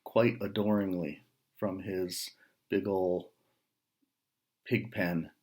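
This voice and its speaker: A man reading a picture book aloud to young listeners, pausing between short phrases and adding casual asides and questions. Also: low voice, fairly even intonation, darkish tone.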